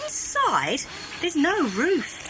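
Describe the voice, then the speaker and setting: A woman's voice: extremely high pitch, huge pitch swings, extremely slow, very noisy, exaggerated voice. A woman playing a cheeky, blunt, outspoken worker who challenges everyone in an audio drama. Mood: challenging. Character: Toy, cheeky, blunt, outspoken working woman of downtrodden race. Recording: music behind.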